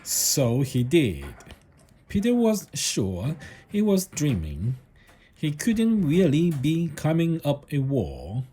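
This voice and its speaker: Male storybook reader: mellow, a little husky, unhurried and deliberate, expressive in pitch. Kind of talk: read-aloud children's story narration.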